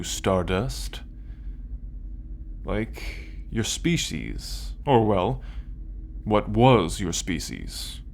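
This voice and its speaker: An arrogant alien warlord acted by a man speaks in a low voice, very slowly and deliberately. He is commanding, condescending and possessive, in the intimate manner of an ASMR roleplay. Clear background noise and ambience sit behind him. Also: heavily acted. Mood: curious, smug. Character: arrogant possessive male alien military conqueror. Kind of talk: ASMR roleplay, scripted monologue to listener.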